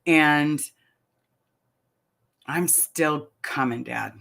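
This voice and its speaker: Bright-voiced chatty middle-aged woman. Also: low voice, extremely slow, creaky. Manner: chatty, rambling, reads comments aloud. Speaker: vlogger answering live chat. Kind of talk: casual live-stream chat.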